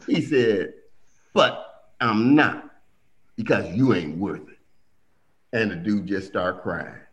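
A middle-aged man recounting family history in relaxed conversation. His voice sits low. He livens up and jumps in pitch whenever he acts out what someone said. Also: slow. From Milwaukee. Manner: animated storyteller, acts out quotes with big pitch jumps. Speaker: guest telling family stories. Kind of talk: casual storytelling conversation.

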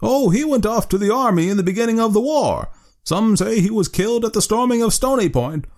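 A man's voice: sits high, expressive, fairly quick, a little mellow, pitched above the performer's own voice. A man voicing an old man with a thin, piping voice. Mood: matter-of-fact.

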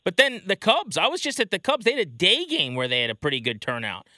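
A man's voice: sits high, sing-song, fast talker, pushed and bright, no echo, studio quality. A man hosting a casual sports talk interview in a conversational way. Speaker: interview host.

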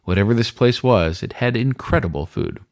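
A man reading a story aloud as scripted audiobook narration, in an expressive storytelling manner. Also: medium pitch, normal speed, no echo, studio quality. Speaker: story reader.

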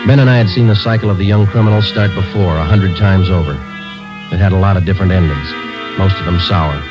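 An actor playing a stoic American police sergeant, speaking in terse, deadpan 1940s radio style. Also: male voice, low voice, fast talker, natural voice. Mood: flat, matter-of-fact. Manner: deadpan, matter-of-fact, terse. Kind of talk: 1940s radio acting, clipped case narration. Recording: muffled vintage radio recording, music behind.

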